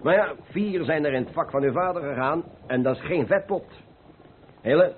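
An actor playing a jovial middle-aged master painter, teasing and chuckling, in an old Dutch radio play. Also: male voice, a little high, moderate pace, lightly acted. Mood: boisterous, jovial. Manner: hearty, chuckling, repeats himself. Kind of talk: old radio drama acting. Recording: tinny, noisy old radio play recording.

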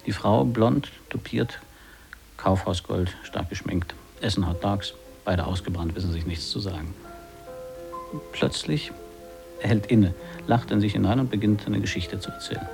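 An actor playing an earnest radio gag writer who pitches scenes in intense, clipped, telegraphic phrases in a radio drama. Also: male voice, lively intonation, moderate pace, creaky, music in the background. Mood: absorbed, narrating. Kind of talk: radio play acting, clipped storytelling.

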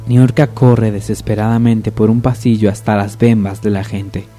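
A man's voice: fairly low pitch, level tone, normal speed, warm tone. A man narrating a scripted radio soap opera as its storyteller. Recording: music behind the voice. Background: music.

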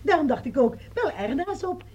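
A woman's voice: high voice, very animated, a little fast, a little mellow, distant mic. An actress playing a talkative woman rambling on a payphone in a radio play. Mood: chatty. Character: chatty woman gossiping on a payphone. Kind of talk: 1960s radio play acting.

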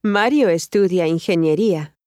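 A woman acting a friendly part in a scripted language lesson, modeling each phrase with clear enunciation. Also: fairly low pitch, normal speed, a little mellow, no echo, studio quality, close to an everyday voice. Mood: friendly, expressive. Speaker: model speaker in a language course.

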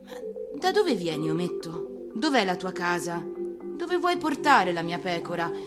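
A woman voicing a stranded adult pilot in a storybook reading, puzzled, gently impatient and quiet in manner. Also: lowish voice, sing-song, a little slow, over loud music.

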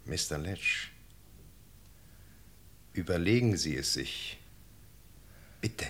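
Insistent, low-voiced police sergeant, radio play. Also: male voice, animated, fairly bright tone, exaggerated voice. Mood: urgent pleading. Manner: insistent, deliberate, formally polite. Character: earnest male British police sergeant questioning a witness. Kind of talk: 1960 German radio drama acting.

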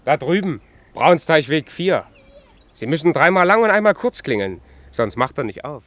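An actor playing an offhand, cheeky young lad in a German radio play, laconic and colloquial. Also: male voice, on the high side, big pitch swings, normal speed, fairly bright tone. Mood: matter-of-fact.

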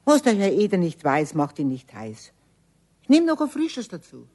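A woman's voice: fairly low pitch, huge pitch swings, moderate pace, warm tone. An actress playing a chatty, fussing Bavarian cleaning woman in a children's radio play. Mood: flustered. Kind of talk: 1970s German children's radio play acting.

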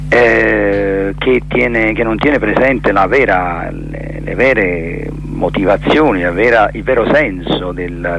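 Male theatre director, deepish and gravelly, reflective, halting delivery, on a slightly hissy phone line. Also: fairly bright tone. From Naples, Italy. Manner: reflective, halting, repeats and rephrases words while searching. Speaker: theatre director and teacher, interview guest. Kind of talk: spontaneous phone interview. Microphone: telephone. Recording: telephone line with faint hiss and hum.

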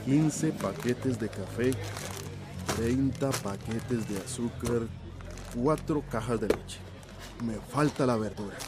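Actor as modest, generous village shopkeeper. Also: male voice, mid-range voice, slow pace, background noise, lightly acted. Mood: absorbed counting. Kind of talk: radio drama acting.

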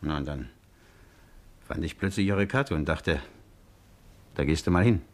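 An actor in a 1950s German radio crime drama playing an anxious, hot-tempered working-class man who tells his story haltingly. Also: very deep voice, lively intonation. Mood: uneasy, confiding.

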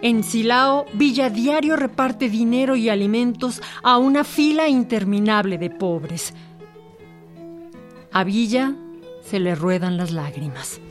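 Actress as a high, dramatic woman storyteller. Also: measured pace, music in the background, lightly acted. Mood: moved. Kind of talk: dramatic scripted narration.

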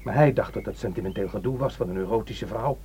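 An actor playing a bitter, defiant young son in a Dutch radio play, scornful and curt. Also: male voice, lowish voice, fast talker, mellow, close to an everyday voice. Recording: dull, faintly noisy old radio recording.

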